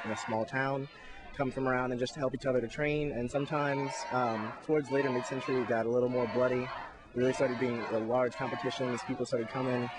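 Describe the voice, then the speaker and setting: Male festival squire, average pitch, informal and halting explainer, noisy outdoor location sound. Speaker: jousting squire and backstage crew member at a Renaissance festival.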